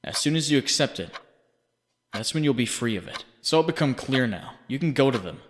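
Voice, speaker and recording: A man's voice: mid-range voice, animated, natural voice. Man playing a reverberant, brooding inner voice. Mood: coaxing, ominous. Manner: deliberate, dramatic, brooding. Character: haunting, accusing inner voice narrating a hero's guilt. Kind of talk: scripted second-person dramatic narration. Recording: long reverb, faint background noise.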